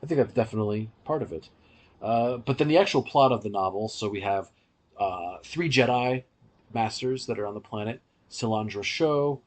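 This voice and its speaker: Low, husky-voiced male podcast host. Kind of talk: casual media review discussion.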